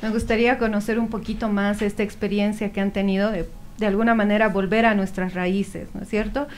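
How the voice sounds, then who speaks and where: A woman's voice: medium pitch, level tone, brisk, a little hiss or hum. A young woman interviewing guests conversationally as a radio host. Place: small radio studio with several people. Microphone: studio microphone on boom arm.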